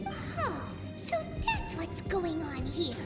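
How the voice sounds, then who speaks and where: A woman voicing a small, cheeky fairy companion with a squeaky childlike voice and an exclamatory manner in a video game. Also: wildly swooping pitch, slow, full character voice. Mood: puzzled. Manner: exclamatory, speaks of herself by name. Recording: loud game music behind the voice.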